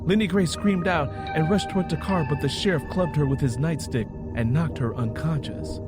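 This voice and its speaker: A man's voice: a little high, normal speed. Solemn male storyteller over music. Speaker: narrator. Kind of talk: dramatic scripted story narration.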